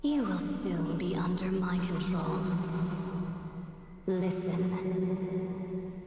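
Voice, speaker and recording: An actress playing a sinister, commanding sorceress in a fantasy game cutscene. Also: female voice, lowish voice, little pitch movement, very slow, dark tone, roomy sound, big-room sound, faint music behind. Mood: menacing, commanding. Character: sinister sorceress who commands cursed creatures.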